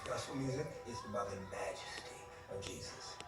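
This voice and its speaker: A man's voice: medium pitch, fairly quick. A man speaking in a documentary promo. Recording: very echoey, distant capture with music. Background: loud music.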